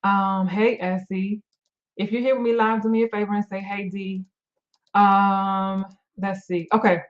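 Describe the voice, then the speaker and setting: A tired woman life coach whose voice is going, talking in a mid-range pitch. She speaks candidly and loosely, with fillers and restarts, like a live chat with viewers. The audio is a little dull and muffled. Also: flat delivery, a little slow. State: losing her voice, tired. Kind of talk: live-stream motivational talk.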